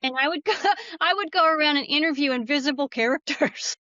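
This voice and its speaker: Female guest, slightly high voice, casual storyteller, dry but dull lo-fi recording. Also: a little fast, pushed and bright, no echo. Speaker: podcast guest, novelist.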